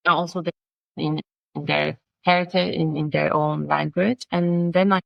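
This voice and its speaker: A middle-aged woman with a Persian accent, a native Farsi speaker, talking in a casual interview, halting and searching for words with many restarts. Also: fairly low pitch, creaky, no room sound, low-quality recording. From Iran. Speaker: guest, designer and language coach.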